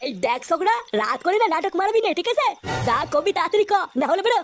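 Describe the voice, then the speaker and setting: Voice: extremely high pitch, very animated, fast talker, soft background music. A performer voicing a grumpy, greedy, cowardly village headman in a comic cartoon dub. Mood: irritated.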